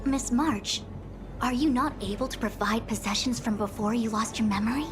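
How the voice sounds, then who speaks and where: A woman's voice: a little high, close to an everyday voice. Woman acting a composed, scholarly diviner over music. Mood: formal, inquiring. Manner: formal, measured, articulate. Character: composed, scholarly young female master diviner. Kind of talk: scripted video game dialogue. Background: background music.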